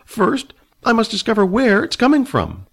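A man reading a storybook aloud, voicing a fussy, pompous old male wizard with a thin, high voice and a prim, inquisitive manner. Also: huge pitch swings, moderate pace, creaky, breathy edge, heavily acted.

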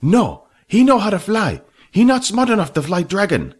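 A man voicing a gruff character who speaks in clipped, broken English. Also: high voice, huge pitch swings, moderate pace, voice pushed up, full character voice. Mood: blunt. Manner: clipped, ungrammatical, blunt.

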